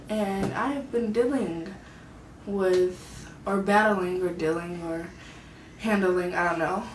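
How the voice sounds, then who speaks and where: A woman, vlogging about her own life in a soft, hesitant way, often searching for words. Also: medium pitch, slight background noise. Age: 20s. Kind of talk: personal confessional vlog. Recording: echoey room, mic at a distance.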